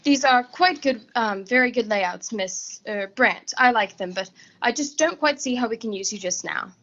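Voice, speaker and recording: Teen girl acting a flustered, halting woman executive. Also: fairly high pitch, a bit bright, highs cut off. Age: teenager. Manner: distracted, halting, repeats herself. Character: nervous, flustered woman executive, curt when pushed. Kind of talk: 1940s suspense radio acting. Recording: faint noise and clicks, home recording.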